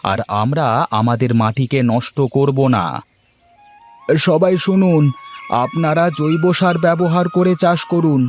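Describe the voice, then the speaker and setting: A man's voice: fairly high pitch, slow pace, darkish tone, faint music behind. A man voicing an earnest, humble and emotional village farmer in a cartoon story. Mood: resolute.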